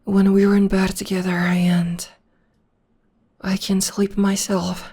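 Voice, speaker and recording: A young woman voicing a gentle, devoted witch girlfriend in an ASMR comfort roleplay, speaking softly and often in a whisper. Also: mid-range voice, level tone, slow, husky. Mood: tender, soothing. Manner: soft, soothing, often whispering. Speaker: voice actor.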